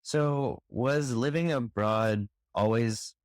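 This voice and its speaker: A man hosting a podcast interview, asking measured questions and affirming often. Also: mid-range voice, no echo, clean studio sound. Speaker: podcast host.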